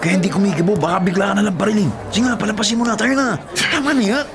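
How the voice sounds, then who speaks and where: Man acting hardworking young delivery rider, Filipino, radio drama, coaxing, high-ish voice, rapid delivery. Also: crowd noise, natural voice. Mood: alarmed, pleading. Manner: earnest, coaxing.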